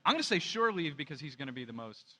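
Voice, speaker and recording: A man's voice: a little high, sing-song, quick pace, bright, forceful tone. A man bantering playfully in character with an exaggerated, theatrical delivery.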